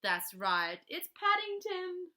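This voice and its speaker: A woman reading a children's story aloud in an expressive, animated way, pausing to put questions to young listeners. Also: very high voice.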